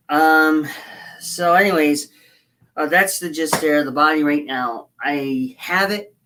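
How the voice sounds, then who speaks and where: A hoarse woman chatting casually on a livestream as she builds a scale model, rambling and halting with frequent fillers. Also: sits low, fairly bright tone. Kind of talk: casual livestream chat while working.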